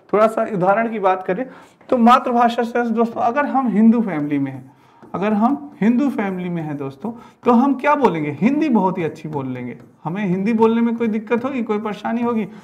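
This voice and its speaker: A man in his thirties giving an explanatory classroom lecture, repeating phrases and asking rhetorical questions. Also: high-pitched. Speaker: teacher giving an exam-prep class. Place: indoor studio with digital teaching board.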